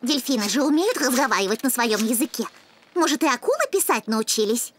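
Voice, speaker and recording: Woman doing cute lil puppy boy, high kiddie cartoon voice, naive but sure of himself. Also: expressive, a little fast, a little hiss or hum, lightly acted. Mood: earnest. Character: cute small child dog, little cartoon brother. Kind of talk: animated cartoon acting.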